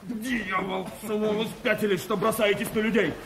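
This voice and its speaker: An actor playing a vain, pompous, blustering musketeer in a radio play, easily offended. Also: male voice, high-pitched, flat delivery, moderate pace, background noise. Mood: furious.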